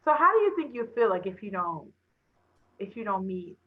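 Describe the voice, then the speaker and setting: A woman's voice: medium pitch, big pitch swings, moderate pace. A young adult woman on thin phone-like audio, chatting casually and candidly as a podcast co-host. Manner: candid, colloquial. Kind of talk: casual chat.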